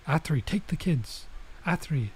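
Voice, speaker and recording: A man's voice: on the high side, lively intonation, a little slow, darkish tone, breathy edge. A man doing a let's play, reading visual novel text aloud and adding casual, off-the-cuff comments, sometimes stumbling over lines.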